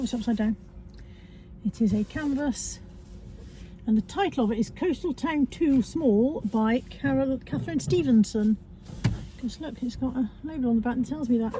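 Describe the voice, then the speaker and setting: A woman's voice: on the high side, dark tone, slight background noise, rough recording. A British woman chatting casually in a vlog from her car, sounding congested as she comes down with a cold, tagging questions onto her remarks. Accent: British English. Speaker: vlogger.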